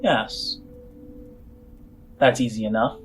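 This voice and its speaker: A man playing a shrewd, measured female alien informant in a tabletop game, speaking formally and haltingly over music. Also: lowish voice. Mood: cool, bargaining.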